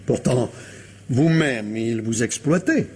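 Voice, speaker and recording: A patient police commissioner in a radio mystery. He is a man of formal courtesy, with a husky, medium-pitched voice and a slow, measured, probing delivery. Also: expressive, darkish tone, exaggerated voice. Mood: probing, calm. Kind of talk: French radio mystery drama acting.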